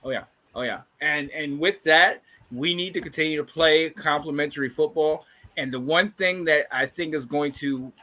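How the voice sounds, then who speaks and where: A man hosting a casual football podcast, stuffed up, energetic and laughing often. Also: a little high. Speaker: podcast host. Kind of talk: casual sports podcast chat.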